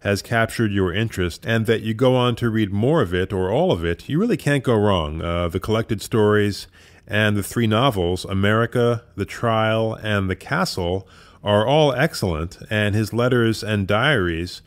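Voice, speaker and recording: A man's voice: on the low side, moderate pace. A man hosting an audio drama program, giving semi-scripted commentary with thoughtful, informal asides.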